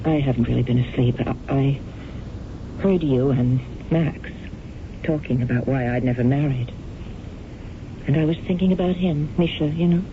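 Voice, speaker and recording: An actress playing an idealistic, lovestruck young actress, earnest and heartfelt, in a 1970s radio drama. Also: female voice, extremely low pitch, moderate pace, pauses often. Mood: weary. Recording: tinny, hissy old radio broadcast.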